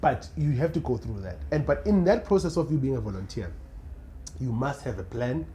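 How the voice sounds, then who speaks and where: A young man with a South African accent, a radio producer chatting casually as a podcast guest, animated and quick to laugh, often restarting his sentences. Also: medium pitch, slight background noise. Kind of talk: casual interview chat.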